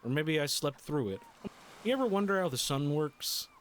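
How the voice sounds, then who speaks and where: A man voicing an earnest, odd boy hero with deadpan, folksy musing in an improvised comedy fan dub of a video game. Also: a little high, normal speed, a little hiss or hum, natural voice. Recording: game music behind the voice.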